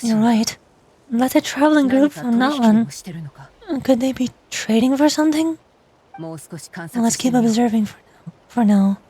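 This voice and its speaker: Watchful young game companion, slightly high voice, slow deliberate lines. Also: female voice, expressive, mellow, low-quality recording. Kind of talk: video game voice acting.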